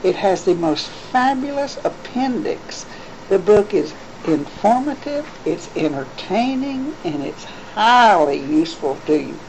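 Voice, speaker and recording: A woman's voice: mid-range voice, sing-song, measured pace, a little mellow. A woman working as a psychic gives casual one-to-one advice, instructive and emphatic, often repeating herself for weight. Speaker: psychic giving a private reading. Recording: noisy home cassette tape with hum.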